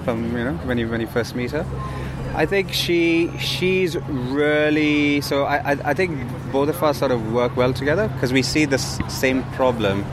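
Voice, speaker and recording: A man who grew up in India talks in a relaxed, chatty way, full of little fillers and restarts. He is out on a city street, and there is plenty of traffic noise around him. His voice sits at an ordinary middle pitch. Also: moderate pace. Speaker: food startup co-founder. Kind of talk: casual walking interview. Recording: outdoor street recording with traffic.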